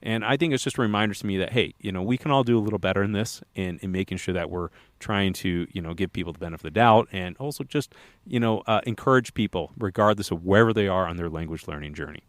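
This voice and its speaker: A man's voice: low voice, quick pace, dry recording. A man co-hosting a podcast, chatting casually and rambling with many fillers and restarts. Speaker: podcast co-host.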